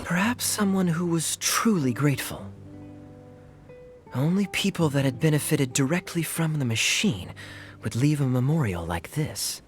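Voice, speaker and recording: A man's voice: fairly high pitch, expressive, measured pace. A man voicing a suave, sly young knight captain in game cutscenes, with a wry, teasing way of talking. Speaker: game character. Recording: game audio with faint music.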